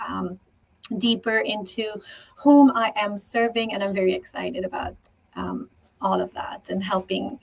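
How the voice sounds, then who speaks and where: A woman in her forties from Belgium with a French-speaking Belgian accent, a podcast guest chatting eagerly about her life, often pausing on fillers and restarting her sentences. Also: medium pitch, vocal fry, low-quality recording. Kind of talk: casual interview chat.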